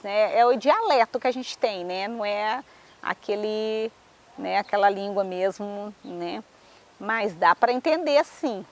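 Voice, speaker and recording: A woman's voice: medium pitch, fairly bright tone. A woman who runs a restaurant, chatting casually about her family and tagging sentences with questions. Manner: chatty, frequent tag questions. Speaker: restaurant owner. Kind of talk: casual recollection.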